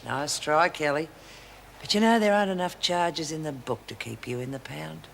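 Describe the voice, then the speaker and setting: A woman's voice: sits low, moderate pace, gravelly, a little hiss or hum. An actress playing a cold, taunting female prison officer, barking orders. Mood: commanding. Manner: sneering, commanding.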